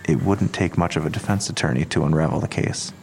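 A patient police detective in an audio drama. His voice is deep with a gravelly creak, and he speaks in a measured, earnest, persistent way. A faint ambient noise sits behind him. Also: darkish tone. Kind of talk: full-cast audio drama acting.

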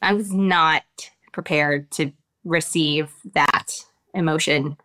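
An American woman, an actress with children of her own, giving reflective interview answers with many pauses and restarts. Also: low-pitched, steady tone, pushed and bright. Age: adult with children. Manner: thoughtful, halting, many restarts and fillers.

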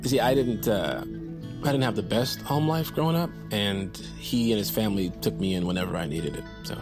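A man's voice: on the low side, expressive, fairly quick, music in the background, close to an everyday voice. An actor playing an awkward, earnest man in his thirties, a loyal friend who rambles and stammers, in a naturalistic audio drama. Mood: earnest, wistful. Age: 30s. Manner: rambling, stammering, self-deprecating.

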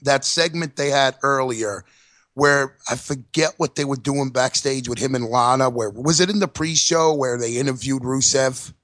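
A man's voice: medium pitch, moderate pace, fairly bright tone, no echo. A man co-hosting a casual wrestling podcast, blunt and emphatic, swearing freely. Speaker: podcast co-host.

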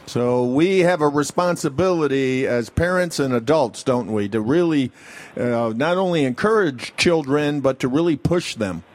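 A man's voice: mid-range voice, expressive, normal speed. A man hosting a radio interview who asks leading questions and sums up his guest's points.

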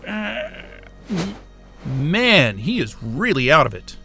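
An actor playing an exasperated, sarcastic but soft-hearted astrophysicist in a naturalistic science-fiction audio drama. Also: male voice, on the high side, sing-song, slow pace, creaky, faint music behind, exaggerated voice. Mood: concerned, weary. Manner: sarcastic, muttering, casual swearing.

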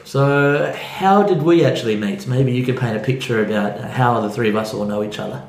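A man's voice: medium pitch, normal speed, slight background noise. Echoey Australian male podcast host. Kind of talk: conversational interview with spoken introduction. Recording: roomy, echoey sound.

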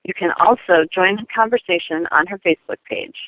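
A woman's voice: lowish voice, brisk, no echo. A woman giving a scripted, informational segment as a show contributor, over a thin telephone-like line. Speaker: segment contributor on pregnancy resources. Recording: thin telephone-like band-limited sound.

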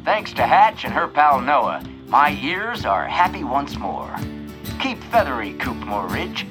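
A folksy cartoon bird radio host, slow and theatrical. His delivery swoops up and down over prominent music. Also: a little high, big pitch swings. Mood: folksy, relieved. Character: Jackson Styles, folksy showy male bird radio announcer. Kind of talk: radio announcer narration in audio fiction.

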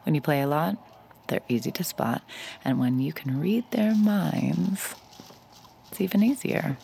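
A woman's voice: deep voice, measured pace, creaky, warm tone, slight background noise, full character voice. An actress playing a sly, cocky young woman poker hustler who narrates her con in a wry, confiding way in an audio drama. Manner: wry, confiding, self-assured. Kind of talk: first-person audio drama narration and dialogue.

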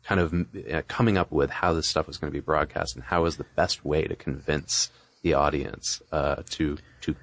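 A man's voice: very deep voice, fairly even intonation, normal speed, fairly bright tone, no echo. A man co-hosting a podcast, explaining history in a casual, discursive, conversational way. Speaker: podcast co-host.

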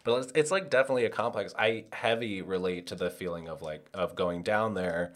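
Young American woman, very low-pitched, casual. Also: a little fast. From United States. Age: young adult. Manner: candid, informal, slangy with swearing. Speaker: podcast host. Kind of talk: casual chat.

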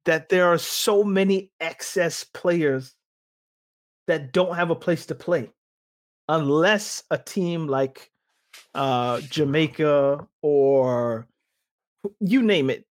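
A man in casual sports talk, his voice sitting a little high. He rambles with lots of restarts, fillers and lively asides, like a podcast co-host thinking aloud. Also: slow, pauses often.

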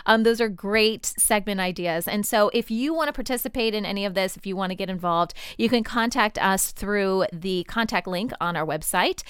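Clear-voiced woman giving casual podcast announcements. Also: medium pitch, normal speed, few pauses, no room sound, clean studio sound. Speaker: podcast show regular. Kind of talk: casual explanatory announcements to listeners. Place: studio.

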